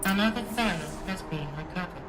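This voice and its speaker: A woman voicing a calm, impersonal computer system in a video game, making formal announcements. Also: medium pitch, brisk, very noisy, natural voice. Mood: impassive.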